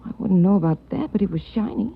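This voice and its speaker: An actress playing a shaken young woman recalling a crime she witnessed in a 1940s radio drama, halting and stammering. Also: medium pitch, lively intonation, creaky, breathy edge. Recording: thin phone-like 1940s radio.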